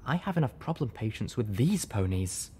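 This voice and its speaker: A man voicing a female unicorn pony medic, prim, caring and gently scolding, in an audiobook story. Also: medium pitch, very animated, moderate pace, breathy edge. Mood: teasing scolding.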